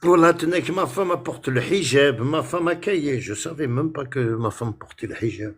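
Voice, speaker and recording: Husky man ranting on a live stream. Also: fairly high pitch, moderate pace. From France. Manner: indignant, repetitive, switching into Arabic words. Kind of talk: heated casual live-stream rant.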